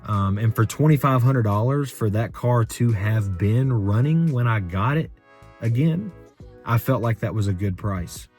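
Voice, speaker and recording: A young man in a rambling, unscripted story-time vlog about his car, talking in a monotone voice with many fillers. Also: mid-range voice, a little slow, faint music behind. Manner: rambling, filler-heavy, conversational. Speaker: car vlogger.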